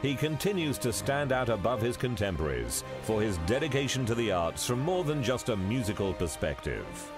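A man reading a formal, scripted award introduction in a measured voice-over. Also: mid-range voice, very animated. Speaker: award announcer. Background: music bed.

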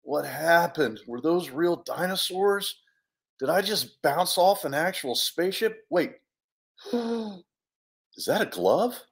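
A man's voice: fairly high pitch, animated, slow, gravelly, exaggerated voice. A man voicing a bewildered, easily startled young adventure hero in a children's storybook, stammering out incredulous questions. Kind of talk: animated children's storybook read-aloud.

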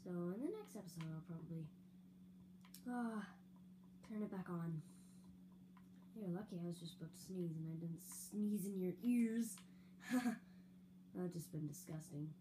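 A young woman casually narrating a building game with giggles and fillers, her voice a little raspy. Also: lowish voice, normal speed, pauses often. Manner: chatty, giggly, rambling with fillers. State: slightly raspy, throat-clearing. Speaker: gaming video creator. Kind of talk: casual let's-play building commentary. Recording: audible hum behind voice.

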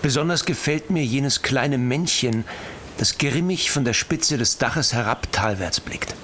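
An actor playing an observant gentleman explorer reading his diary in an audio drama, formal and measured. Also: mid-range voice, slight background noise, close to an everyday voice. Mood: composed, observant. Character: expedition diarist, composed, observant, quietly amused gentleman.

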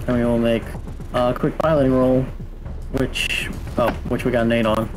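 Man of middling pitch over music. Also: little pitch movement, normal speed, rough recording. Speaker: tabletop game player. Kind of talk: casual game table talk. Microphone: online group video call. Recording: music behind the voice.

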